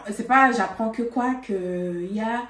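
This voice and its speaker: Woman vlogger, animated storytime chat, higher pitch, mic at a distance. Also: measured pace. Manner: animated, laughing, lots of fillers. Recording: roomy, from a distance.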